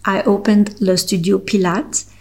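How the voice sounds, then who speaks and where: A middle-aged woman with a French accent, a French native speaker from Switzerland, reading her own personal essay aloud in a slow, measured, earnest way. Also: mid-range voice, level tone, husky. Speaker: author reading her article.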